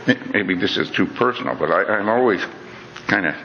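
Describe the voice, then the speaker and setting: Man, low voice, lively rise and fall, bright tone, earnest talk, dull lo-fi recording with long hall reverb. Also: fairly quick, a little hiss or hum. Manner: earnest, conversational, with frequent "you know" and restarts. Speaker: speaker giving a talk. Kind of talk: reflective lecture to an audience.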